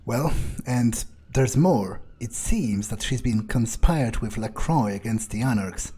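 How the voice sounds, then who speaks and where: A husky-voiced vampire antihero, as a male gamer plays him at a middling pitch. He talks haltingly, as if making it up on the spot, cocky and vindictive, and curses freely. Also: animated, warm tone. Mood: casual, hesitant. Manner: halting, improvised, swearing freely. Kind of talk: in-character game roleplay.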